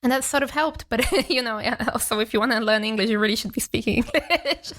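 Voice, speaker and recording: A woman's voice: fairly high pitch, a little fast, a bit bright. A young woman from Brazil whose first language is Portuguese, chatting reflectively as a podcast guest and laughing often. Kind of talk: casual interview chat.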